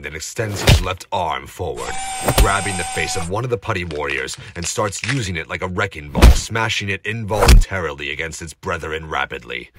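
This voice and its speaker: A man with a low voice narrates an action story for a fan-made audio drama. His delivery is scripted and dramatic, and the amateur recording carries very loud noise. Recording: noisy amateur recording with heavy background noise.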